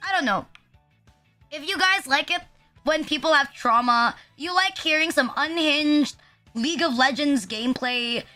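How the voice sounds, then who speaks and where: A woman's voice: high voice, sharp tone. A young woman streaming with a sniffly nose, rambling casually with self-deprecating, profane asides. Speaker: VTuber streamer. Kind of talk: casual livestream chat.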